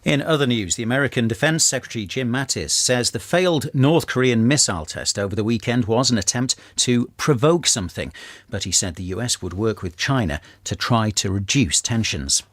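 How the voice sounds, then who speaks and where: A man reads radio news from a script. His medium-pitched voice moves over a lively range, recorded close and dry with no room sound. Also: a little fast, no room sound. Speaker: radio newsreader. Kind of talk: scripted news bulletin reading.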